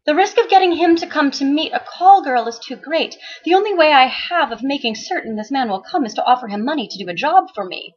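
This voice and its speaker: A woman voicing a fiery, defiant madam in a story reading, shrill, commanding and dramatic. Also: expressive, a little fast, hardly any pauses, highs cut off. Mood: determined.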